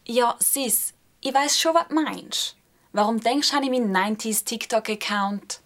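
Flippant teenage older sister, fairly high voice, slangy brush-off delivery for radio drama. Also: female voice, normal speed, a bit bright. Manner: slangy, dismissive. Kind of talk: children's radio play acting.

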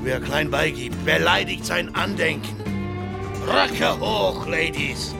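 A defiant Western gunslinger in a radio play, over prominent music. He gives terse commands in a slow, creaky voice. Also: fairly high pitch, exaggerated voice. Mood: defiant, commanding.

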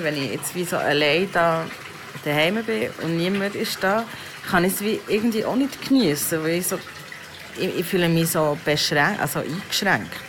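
Woman, Swiss German accent, very low pitch, candid unscripted musing, podcast diary style, clearly noisy recording. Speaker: podcast host and parent. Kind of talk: casual personal audio diary.

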